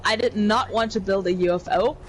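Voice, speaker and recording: A woman's voice: mid-range voice, fairly quick, slight background noise, rough recording. A woman casually explaining something in a hesitant, rambling way. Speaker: player presenting own game build. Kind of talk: casual chat, explaining a build.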